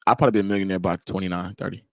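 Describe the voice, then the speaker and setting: A man's voice: sits low, fairly even intonation, racing, no echo, clean studio sound. A man in his twenties hosting a casual podcast chat, slangy and laid-back, laughing often. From Haiti. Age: 20s. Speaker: podcast host.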